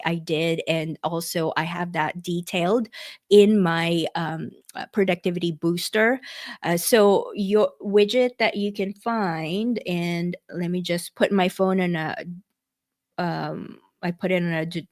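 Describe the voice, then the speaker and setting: A middle-aged woman from the Philippines hosting an online workshop, chatty, with many fillers and restarts, speaking into a computer mic. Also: lowish voice. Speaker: workshop host. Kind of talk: casual online workshop presentation.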